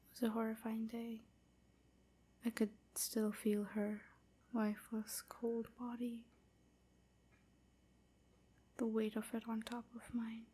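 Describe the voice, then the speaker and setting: A woman playing a melancholy vampire slave in an intimate roleplay audio, confiding softly and hesitantly with a breathy delivery. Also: a little high, monotone, slow, pauses often, a little hiss or hum. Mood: haunted, sorrowful. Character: weary, melancholy female vampire slave, confiding her past.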